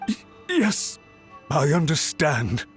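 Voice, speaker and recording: A man playing a desperate, apologetic official in a video game, pleading humbly and deferentially in a husky voice. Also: on the high side, very animated, slow. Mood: cowed, grateful. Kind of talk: scripted game dialogue. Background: background music.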